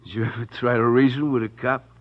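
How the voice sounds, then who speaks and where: A man's voice: mid-range voice, little pitch movement, fast talker, lightly acted. An actor as a smug, wisecracking radio gangster henchman. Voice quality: slangy city tough-guy diction. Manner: mocking, cocksure, wisecracking. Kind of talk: 1940s radio crime drama acting. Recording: dull vintage radio recording.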